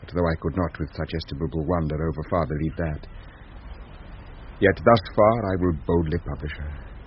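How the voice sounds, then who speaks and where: An actor plays a grave, courteous young gentleman with a very low voice and a sorrowful bearing. He speaks formal Shakespearean lines as in an old radio play. The sound is muffled, with noise under it. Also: natural voice. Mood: grieving. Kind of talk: classic Shakespearean radio acting. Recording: muffled 1970s radio recording, noisy.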